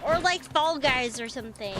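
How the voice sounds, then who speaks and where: A young woman with a Vietnamese American accent, chattering excitably with her chat while streaming a game, full of slang. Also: sits high, moderate pace, noisy background. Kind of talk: casual gaming stream chatter. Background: game music.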